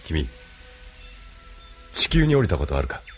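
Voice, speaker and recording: 男性声優がアニメのオーディオドラマで、落ち着いて威厳のある中年の軍大佐を演じている。. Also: male voice, a bass voice, wildly swooping pitch, soft background music, exaggerated voice. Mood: probing, assured. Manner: measured, authoritative. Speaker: voice actor. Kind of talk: anime audio drama acting.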